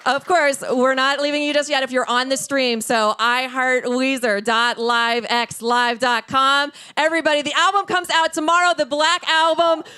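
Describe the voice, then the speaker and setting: A woman's voice: high voice, steady tone, normal speed, few pauses, bright, forceful tone, smooth. An American woman hosting a live stage interview and making announcements in an enthusiastic, fan-like manner. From Pittsburgh, United States. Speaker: host. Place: on stage at a small theater. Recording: live theater with faint crowd.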